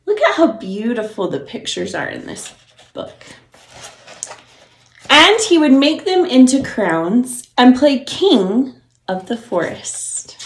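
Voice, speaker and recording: A woman's voice: high voice, a bit bright, roomy sound. A woman preschool teacher reading a storybook aloud to young children, encouraging and explaining things simply.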